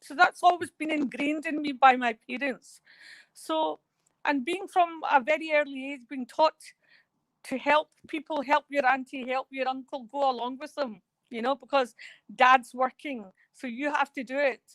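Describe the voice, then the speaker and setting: A woman's voice: sits high, steady tone, normal speed, fairly bright tone, dry recording, poor audio. A woman talking as a guest in a remote radio interview, rambling and hesitant with many fillers. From Scotland.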